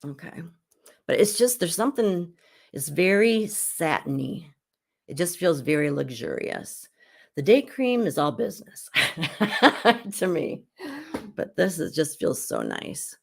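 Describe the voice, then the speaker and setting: A middle-aged woman who is hoarse while getting over an illness, chatting casually as she hosts a live skincare demonstration at home. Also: fairly low pitch, very animated, measured pace, long pauses. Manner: chatty and rambling, with frequent restarts. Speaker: beauty consultant and show host.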